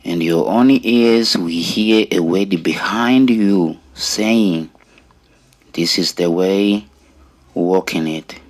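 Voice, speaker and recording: A middle-aged man with a Rwandan accent giving an earnest personal testimony in deliberate, short phrases with pauses. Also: deep voice. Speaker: storyteller sharing own life story.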